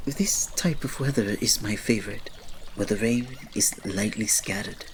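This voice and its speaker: A man playing a reserved, devoted royal servant in an ASMR roleplay, speaking formally and politely with quiet fondness and restraint. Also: lowish voice, slight background noise, lightly acted. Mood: quietly fond. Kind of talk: ASMR roleplay, scripted drama.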